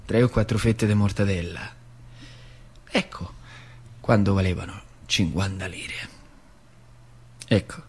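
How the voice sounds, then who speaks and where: A man's voice: deep voice, slow pace, long pauses, slightly rough, exaggerated voice. Colloquial central Italian working man reminiscing. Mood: wistful, earthy. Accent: central Italian, Lazio. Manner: colloquial, animated, repetitive storytelling. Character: older working-class man reminiscing about factory strike days. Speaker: stage actor narrator. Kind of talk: theatrical monologue storytelling in dialect.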